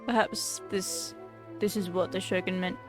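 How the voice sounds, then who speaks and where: Female game streamer, monotone, unhurried, halting read-aloud and commentary, faint music behind. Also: fairly low pitch. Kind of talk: live game commentary, reading dialogue aloud.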